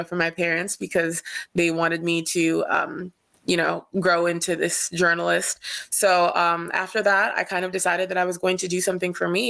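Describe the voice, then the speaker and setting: A woman's voice: fairly low pitch, creaky. A woman from Cameroon, a singer-songwriter, speaking casually and hesitantly as a panel guest on a video call. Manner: relaxed, hesitant with many ums.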